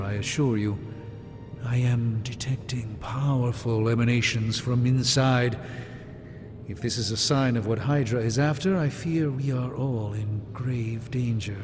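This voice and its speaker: An actor playing a learned, middle-aged sorcerer superhero in a video game, speaking in a formal, theatrical and grave way. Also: male voice, medium pitch, a little slow, lightly acted. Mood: grave, measured. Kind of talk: video game cutscene acting. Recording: long hall reverb under music.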